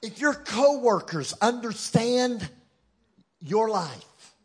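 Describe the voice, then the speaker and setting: A man's voice: extremely high pitch, big pitch swings, slow pace, husky. A man with a Texan accent preaching a sermon as guest speaker, emphatic and joking, calling out to his listeners. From Texas. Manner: emphatic, joking, call-and-response with the crowd.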